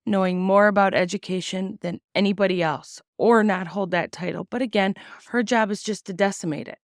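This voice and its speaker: A woman hosting a podcast, giving casual, blunt opinions on the news, swearing freely and using many ums, sounding sick and tired with a slightly raspy voice. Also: mid-range voice, no echo, studio quality. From United States. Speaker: podcast host.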